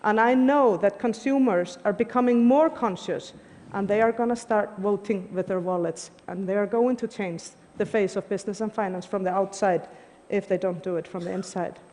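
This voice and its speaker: A woman's voice: medium pitch, normal speed, a little mellow, slight background noise. A woman, giving an earnest, emphatic prepared talk on stage. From Iceland. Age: 40s. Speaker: conference speaker. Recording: long hall reverb.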